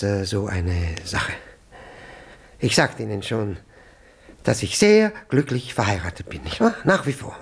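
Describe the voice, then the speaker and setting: Flustered, husky, stammering senior official. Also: male voice, medium pitch, full character voice. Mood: evasive. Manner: stammering, flustered, formal, repeats himself. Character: flustered, evasive male senior civil servant, nervously pompous. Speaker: actor in a radio play. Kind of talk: German radio play acting.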